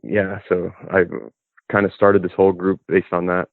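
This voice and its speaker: A young man with a Midwestern American accent, talking in a relaxed, easygoing way with lots of ums as a podcast guest, originally from Kuwait. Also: low voice, normal speed, no room sound. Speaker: off-road club organizer, guest. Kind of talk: casual interview chat. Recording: thin phone-like remote line.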